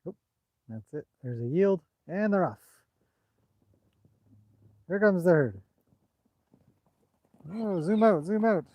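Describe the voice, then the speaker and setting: A man's voice: high voice, expressive, takes it slowly, long pauses, a little mellow, no echo. A man hosting a casual outdoor livestream, chatting loosely about his horses and answering viewer comments, recorded on a cell phone. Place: outdoors by a horse paddock on a bright day.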